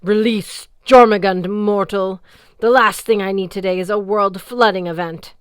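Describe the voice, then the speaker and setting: Ran, a woman voicing a booming sea goddess. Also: fairly even intonation, moderate pace, smooth, no echo, studio quality, lightly acted. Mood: scornful. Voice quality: deep baritone, booming. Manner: haughty and commanding. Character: imperious ancient sea goddess, deep-voiced and scornful.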